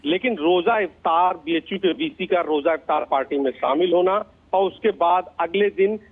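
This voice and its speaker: A man's voice: high voice, moderate pace. Male field reporter on a phone-like line. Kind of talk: live news report. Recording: thin, phone-like live link audio.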